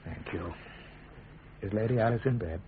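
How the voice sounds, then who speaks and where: An actor playing a principled, dry-witted middle-aged statesman and firm father in a measured radio drama style. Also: fairly low pitch, slight background noise, lightly acted. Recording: tinny, band-limited radio drama recording.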